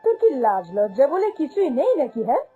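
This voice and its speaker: A woman's voice: sits high, big pitch swings, fast talker, dark tone, highs cut off, faint music behind. A woman voicing a greedy, domineering, haughty female shop boss in a cartoon, scolding and dismissive. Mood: angry, contemptuous. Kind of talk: cartoon fairy-tale voice acting.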